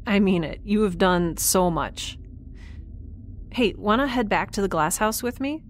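A woman's voice: mid-range voice, animated, a little slow, a little hiss or hum, close to an everyday voice. A woman playing a thoughtful, curious young scientist, conversational and a little hesitant, in a naturalistic audio drama. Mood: subdued, sincere.

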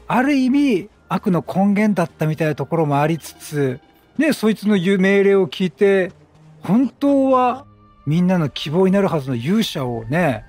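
High, animated, husky male gaming streamer. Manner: playful, reactive, slangy banter with characters. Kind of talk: casual let's play commentary. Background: game music.

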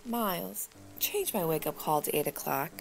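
Sultry, refined femme fatale, acted by a woman, animated intonation, fry in the voice, radio noir over music. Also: fairly low pitch, normal speed. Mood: languid, coy. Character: sultry, languid glamorous lady hotel guest. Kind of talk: old-time radio drama acting.

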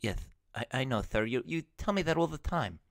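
A performer plays a jittery assistant who stammers and hedges through every line, with a lively, rising-and-falling voice. The tone stays deferential in a comedy sketch. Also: mid-range voice, fairly quick, no echo, clean studio sound, close to an everyday voice. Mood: nervous. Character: nervous assistant Sam.

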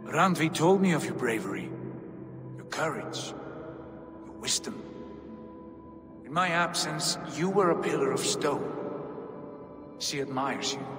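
An actor playing a proud, weary Viking lord in a video game, speaking in solemn, formal phrases. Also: male voice, on the high side, expressive, a little slow, long pauses. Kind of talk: dramatic video game cutscene acting. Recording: cavernous reverb, music underneath.

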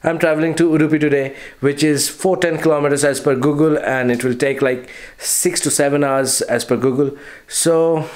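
A man's voice: a little high, steady tone, normal speed, few pauses, darkish tone. A man in his thirties with an Indian English accent, chatting into a phone camera held at arm's length as a travel vlogger. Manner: chatty, talks straight to a handheld camera. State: tired near the end. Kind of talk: casual travel vlog. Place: outdoors by roads, in a car and in hotel rooms. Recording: outdoor traffic noise.

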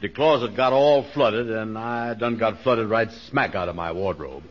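An actor playing a boastful, slow-witted man in a 1940s radio comedy, pompous and bumbling, with folksy ungrammatical speech. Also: on the low side, close to an everyday voice. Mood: flustered, blustering. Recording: muffled, hissy 1940s radio recording.

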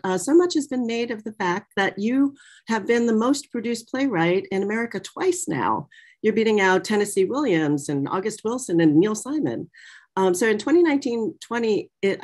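A middle-aged woman moderating an online talk from a small office, in a conversational, animated manner. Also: medium pitch. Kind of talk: prepared introduction and conversational interview. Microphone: video call.

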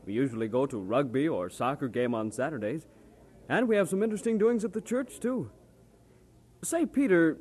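Husky, lively young classmate. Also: male voice, a little high. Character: friendly, sociable young male classmate. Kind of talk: old-time radio drama acting.

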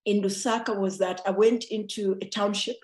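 A woman's voice: medium pitch, fairly even intonation, normal speed, roomy sound. A middle-aged woman from Zambia, raised in Congo, with Kinyarwanda as her first language, telling her life story as a podcast guest on a video call from her home office, chatty and laughing often. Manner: warm, laughs often, many restarts. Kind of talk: casual interview storytelling. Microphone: video call computer mic.

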